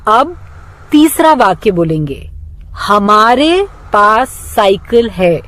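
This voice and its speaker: Female English teacher for children, very slow and deliberate, instructional scripted delivery with spaced phrases for repetition. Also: mid-range voice, very animated, fairly bright tone. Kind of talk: scripted lesson with phrases to repeat.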